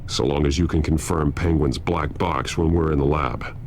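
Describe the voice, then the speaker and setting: A grim masked crimefighter in a video game. The voice is extremely low, and the delivery terse, stern and commanding. There is clear ambient noise behind it. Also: male voice, steady tone, normal speed. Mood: resolute. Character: grim, commanding male masked vigilante hero.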